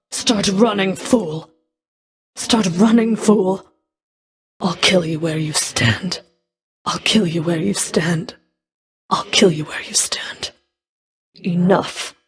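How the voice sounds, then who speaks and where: A woman playing a menacing, contemptuous fury of the underworld in a video game, with a husky, breathy voice and a deliberate, threatening delivery. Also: lowish voice. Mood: cold menace. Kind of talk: video game combat voice lines.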